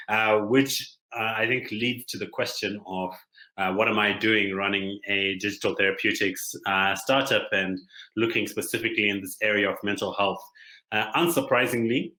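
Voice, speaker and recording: A man from Zimbabwe giving a conference talk as a startup founder, speaking haltingly with many pauses and fillers. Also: on the low side, sharp tone, reverberant, rough recording. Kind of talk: conference presentation.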